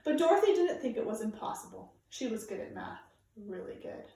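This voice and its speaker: A woman reading aloud to children like a teacher, with clear, deliberate, emphatic phrasing. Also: fairly high pitch, sing-song, warm tone. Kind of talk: read-aloud storytelling for children, informal introduction. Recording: very echoey room, distant microphone.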